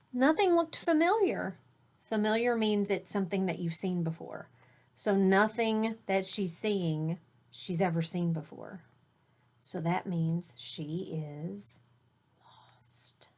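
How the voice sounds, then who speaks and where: A woman's voice: mid-range voice, slow pace, long pauses. A woman teacher reading a picture book aloud to children, explaining words and pointing out the pictures, sometimes dropping to a whisper.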